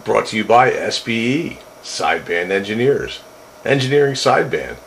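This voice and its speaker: A man's voice: fairly low pitch, big pitch swings, normal speed, creaky. A man making casual, wry and folksy conversation over two-way radio. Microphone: radio desk mic. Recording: noisy, staticky two-way radio transmission.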